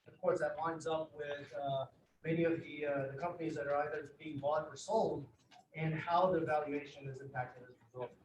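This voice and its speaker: A man introducing himself as a marketing firm founder, with polished, pitch-like phrasing. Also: fairly even intonation, normal speed, recorded from a distance, muffled recording, a little hiss or hum. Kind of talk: self-introduction at a business meeting. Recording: roomy meeting room from afar.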